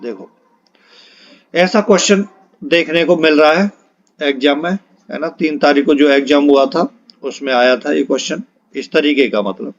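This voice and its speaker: A man's voice: fairly high pitch, highs cut off. A man teaching a step-by-step problem-solving lesson, posing questions and answering them himself, often repeating key phrases. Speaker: physics teacher for exam preparation.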